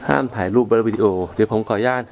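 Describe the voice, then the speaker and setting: A man's voice: on the low side, steady tone, very fast, gravelly, darkish tone, highs cut off. A man in his thirties, chatting casually as he films a travel vlog at a cave entrance, his voice picked up by a handheld camera mic. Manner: chatty, easygoing, narrates as he walks. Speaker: travel vlogger. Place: outdoors at a cave entrance on a cliff. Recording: music playing under the voice.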